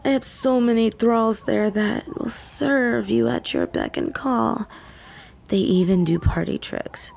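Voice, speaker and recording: Woman playing a high-voiced, haughty lovesick vampire woman. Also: sing-song, slow pace, creaky, darkish tone, heavily acted. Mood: mocking, disdainful. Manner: taunting, theatrical, clingy endearments. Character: haughty possessive female vampire, obsessively lovesick rival. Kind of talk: scripted audio roleplay acting.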